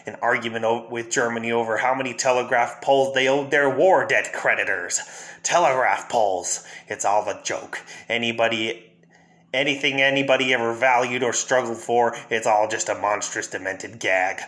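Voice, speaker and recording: Guy doing a crazy clown villain from a comic, bright edgy voice, taunting and erratic. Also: medium pitch, moderate pace, natural voice. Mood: manic ranting. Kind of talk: fan dramatic reading of a comic.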